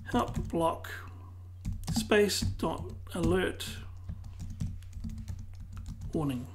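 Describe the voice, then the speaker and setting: A tutor losing their voice who keeps clearing their throat, calmly explaining a software tutorial one step at a time. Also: medium pitch, a little hiss or hum. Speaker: web design tutor. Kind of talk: screen-recorded software tutorial.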